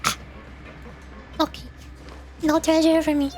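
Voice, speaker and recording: Female game streamer, high-pitched and slow-paced, playful casual chatter with cute spoken sound effects. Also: flat delivery, a little mellow, faint music behind. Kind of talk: casual livestream gameplay chat.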